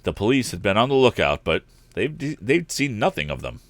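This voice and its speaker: A man narrating an adventure novel aloud as a storyteller, with an expressive read-aloud delivery. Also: lowish voice, fairly quick, no echo. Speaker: storyteller, live stream host.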